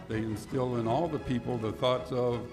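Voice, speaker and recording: A man's voice: mid-range voice, fairly even intonation, moderate pace, rough recording. A man announcing a radio play, reading scripted narration and credits. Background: loud music.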